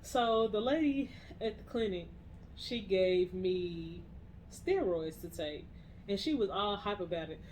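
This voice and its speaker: A young woman co-hosting a podcast in a relaxed, slangy way, often breaking into laughter. Her voice sits at a middle pitch, with a faint hum of room ambience behind her. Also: unhurried, rough recording. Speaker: podcast co-host. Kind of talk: casual chat.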